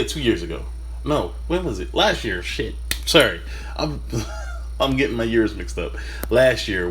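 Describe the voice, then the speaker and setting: A man with a fairly low voice rambles through a casual solo monologue, full of fillers and quick self-corrections. He holds back his naturally loud voice on purpose, and a faint hiss sits under the recording. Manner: speaking deliberately softer than his usual loud voice. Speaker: sports vlogger.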